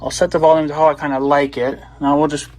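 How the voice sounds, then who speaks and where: A man's voice: on the high side, level tone, fast, darkish tone. A man casually demonstrating gadgets as a video blogger, rambling and thinking aloud as he goes.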